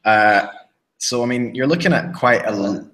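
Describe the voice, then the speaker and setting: A man's voice: medium pitch, measured pace, fairly bright tone. A British man co-hosting a podcast, talking casually and rambling with many restarts and fillers. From Britain. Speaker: podcast co-host. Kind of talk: casual chat. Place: living in a small town in southern Spain.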